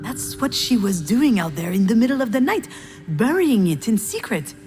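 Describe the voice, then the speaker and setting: An actress playing a reflective, quietly troubled woman who recalls childhood memories in a measured, confessional visual novel narration. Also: on the high side, big pitch swings. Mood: somber realization. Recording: music behind the voice. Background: music.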